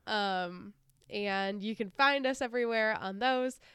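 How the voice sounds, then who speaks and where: A chatty woman co-hosting a podcast. Her voice is a bit nasal from a sinus infection, yet it stays very clear and smooth. The sound is dry and close, and she laughs and swears easily. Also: mid-range voice, unhurried, a bit bright, no echo. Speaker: podcast co-host. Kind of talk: casual podcast chat.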